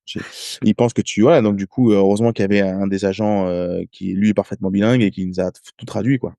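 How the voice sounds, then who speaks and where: A French man in his thirties, a rugby player guest, telling stories in casual podcast talk, halting with many hesitations and restarts. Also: lowish voice, fairly even intonation, creaky, dark tone, no echo. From France. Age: 30s. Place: home in Ireland.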